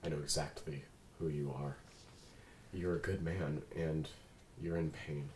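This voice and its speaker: Man acting as deep-voiced calm android detective. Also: unhurried. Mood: firm, caring. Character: calm, formal, earnest male android detective partner. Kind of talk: table read of a drama script. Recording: roomy, faint noise.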